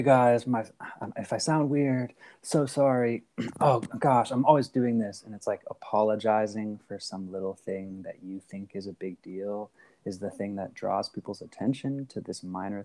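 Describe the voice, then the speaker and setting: A man with a very low voice hosts a relaxed podcast conversation over a phone call. He wanders through his thoughts, restarting sentences and filling the gaps with "like" and "I mean". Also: measured pace. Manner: rambling, many fillers and restarts. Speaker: podcast host. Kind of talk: casual podcast chat.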